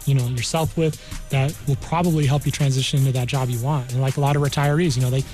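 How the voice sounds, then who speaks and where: A man's voice: medium pitch, steady tone, quick pace, a little mellow, soft background music. An American man in his thirties from New Mexico, explaining things informally as a guest career counselor in a conversational way. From New Mexico, United States. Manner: conversational, explains with hypothetical examples.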